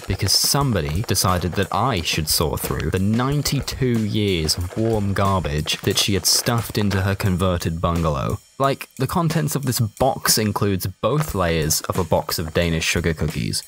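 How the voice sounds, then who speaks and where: Man, low-pitched voice, wry scripted storytelling, colloquial, sardonic, soft music behind. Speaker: narrator of a short-story audio zine. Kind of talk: wry first-person scripted narration.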